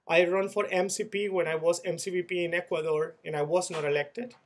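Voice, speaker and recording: Venezuelan man, 30s, fairly high voice; reflective video message, earnest, restarts often. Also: steady tone, brisk. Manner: earnest, reflective, with frequent repeated words and restarts. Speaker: former student-organization leader sharing experience.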